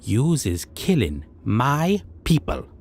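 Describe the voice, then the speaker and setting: A man's voice: medium pitch, huge pitch swings, a little mellow, breathy edge, exaggerated voice. A man voicing a stern, civilized goblin king who speaks in odd broken grammar, like a menacing judge in a fantasy roleplay audio drama. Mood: coldly threatening. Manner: broken, unusual grammar with drawn-out questioning.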